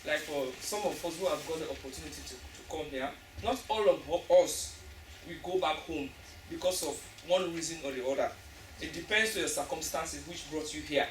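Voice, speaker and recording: A woman's voice: deep voice, moderate pace. Emphatic woman in an echoey hall. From Africa. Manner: emphatic, restarts phrases. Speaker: panelist after a stage play. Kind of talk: earnest audience discussion. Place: school hall. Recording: echoey hall from far off, noisy.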